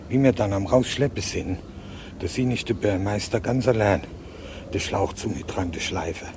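Man as plain-spoken village eyewitness for radio drama, west-central German dialect colouring, lowish pitch, faintly noisy background. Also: close to an everyday voice. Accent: regional west-central German (Hessian-Palatinate). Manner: rustic, halting, colloquial. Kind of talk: naturalistic eyewitness account in a radio play.

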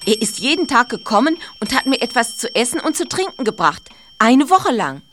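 A high, earnest voice of a shaken but plucky female innkeeper in a radio drama, with faint noise behind it. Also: big pitch swings, brisk, fairly bright tone. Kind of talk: radio play acting.

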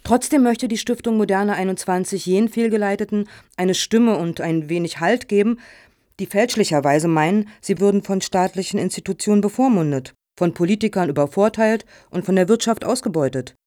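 A woman playing a composed, courteous head of a foundation in a satirical radio play, speaking in a formal, measured, officious way. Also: medium pitch, mellow, dry recording, clean studio sound, lightly acted. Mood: earnest, official.

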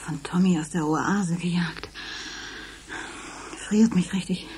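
A woman's voice: lowish voice, fairly even intonation, slow, a little mellow, slight background noise. A woman playing an anxious, easily panicked character in a radio drama, fretful and dramatic. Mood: frightened.

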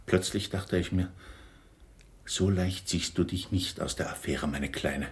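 A very low-voiced grave uncle in a radio drama. He tells his story deliberately and insistently, pleading while he justifies himself. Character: confessing older uncle, grave, self-justifying yet pleading. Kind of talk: 1980s German radio drama acting.

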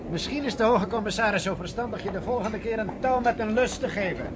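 A high-pitched male army sergeant, acted by a man, British, taunting and menacing in a radio play. The recording is clearly noisy and old. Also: fast, a crowd in the background, lightly acted. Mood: mocking menace. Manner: taunting, threatening. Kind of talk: radio drama acting.

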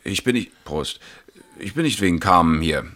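An actor playing an earnest, hesitant schoolteacher in a radio drama, apologetic yet doggedly insistent. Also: male voice, low-pitched, lively intonation, moderate pace, sharp tone. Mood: insistent, frustrated. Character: earnest, hesitant male schoolteacher pleading his case, doggedly polite. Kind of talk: 1970s German radio drama acting.

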